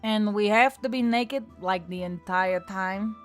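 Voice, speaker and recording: A woman's voice: medium pitch, measured pace, natural voice. Lady doing a grumpy centaur gal, blunt n casual, fantasy audiobook, bit of music. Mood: doubtful. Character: grumbling, blunt female centaur. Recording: music behind the voice.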